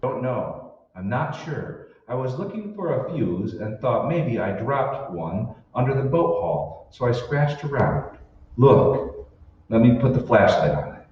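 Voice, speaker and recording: A man's voice: medium pitch, sing-song, a little slow. A man playing a troubled, suspicious husband in an old-time radio drama, convinced his world is fake. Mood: agitated. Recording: echoey hall, distant mic, dull, faint noise.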